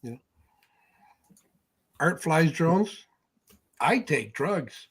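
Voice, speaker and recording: A middle-aged man co-hosting a casual livestream with offhand, wisecracking banter and a husky voice. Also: fairly high pitch, lively intonation, extremely slow, a bit bright. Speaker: livestream co-host.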